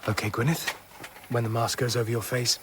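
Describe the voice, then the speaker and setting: An actor playing an earnest, guarded doctor in a radio drama, terse and polite. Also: male voice, medium pitch, little pitch movement, a little fast, fairly bright tone, a little hiss or hum, lightly acted. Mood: calm, professional. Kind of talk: BBC radio drama acting.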